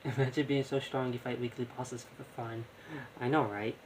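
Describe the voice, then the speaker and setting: A man's voice: mid-range voice, fairly even intonation, normal speed, darkish tone, slight background noise. A young man giving casual live gameplay commentary, rambling and thinking aloud. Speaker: gaming streamer. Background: game music.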